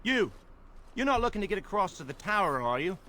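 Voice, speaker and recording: A snide, high-voiced guard. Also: male voice, sing-song, fairly quick, sharp tone, a little hiss or hum, exaggerated voice. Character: snide young male guard at a dock. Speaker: game character.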